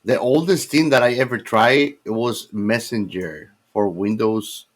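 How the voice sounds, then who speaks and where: Low-voiced man, casual chat. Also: measured pace, no echo. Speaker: podcast co-host.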